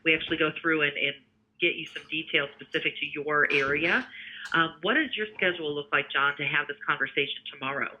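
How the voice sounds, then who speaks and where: Higher-voiced salesman on a tinny phone line. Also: fairly quick, bright, forceful tone. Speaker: telephone sales representative. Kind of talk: conversational sales pitch by phone. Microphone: telephone.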